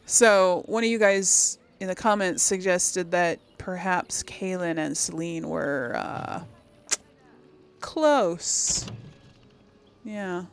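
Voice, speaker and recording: A woman's voice: fairly low pitch, takes it slowly, vocal fry, clear, resonant tone. A woman hosting a game series, chatting casually as she thinks aloud and reads aloud to her viewers. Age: 30s or older. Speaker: game streamer, role-play series host.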